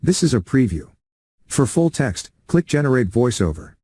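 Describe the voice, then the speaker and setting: Lilting synthetic man's voice, dark tone. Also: mid-range voice, sing-song, moderate pace, husky. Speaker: text-to-speech demo voice. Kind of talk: synthetic reading of sample sentences.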